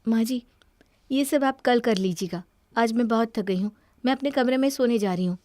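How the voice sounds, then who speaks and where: A woman's voice: on the high side, moderate pace, warm tone, close to an everyday voice. A woman voicing an aloof young new bride in a cartoon story, wearily brushing people off. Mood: weary, reluctant. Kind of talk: Hindi cartoon story dialogue.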